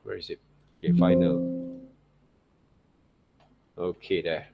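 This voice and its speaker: A man's voice: low-pitched, steady tone, slow, vocal fry, phone-quality sound, loud music behind the voice. A man giving an informal tutorial as a teacher, often checking in with little tag questions. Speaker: art teacher. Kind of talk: informal screen-share tutorial commentary.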